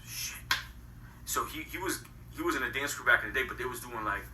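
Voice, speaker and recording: A man's voice: mid-range voice, a little fast, bright, forceful tone, roomy sound, distant mic, slight background noise. A man in his thirties from Connecticut, telling his story in a rambling, casual way full of slang. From Connecticut, USA. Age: 30s. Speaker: guest. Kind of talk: casual interview.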